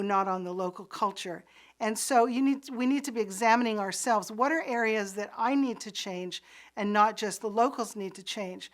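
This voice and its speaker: Woman giving an earnest lecture. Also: a little high. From Pakistan. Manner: earnest, explanatory, some restarts. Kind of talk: recorded course lecture.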